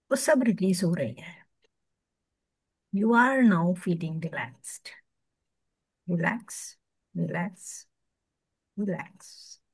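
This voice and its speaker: Female meditation guide, Indian accent, very slow and soothing, dry close sound. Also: mid-range voice, no room sound. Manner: soothing, hushed at phrase ends, with long pauses.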